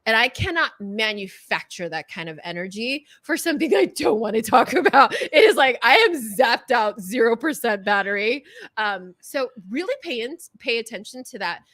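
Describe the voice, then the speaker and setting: A middle-aged woman with a California Valley accent, whose first language is Korean, talking fast and passionately as a coach in an interview, sometimes stumbling over her words. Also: on the high side, sing-song, a bit bright, no room sound. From Southern California. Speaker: guest coach. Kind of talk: energetic interview chat.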